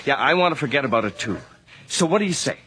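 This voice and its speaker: Casting: wisecracking, persistent reporter, animated and sharp-toned, wheedling his contacts in a radio drama. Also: medium pitch. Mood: wry insistence. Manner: brisk, wry, cajoling. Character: persistent, wisecracking male newspaper reporter on the phone.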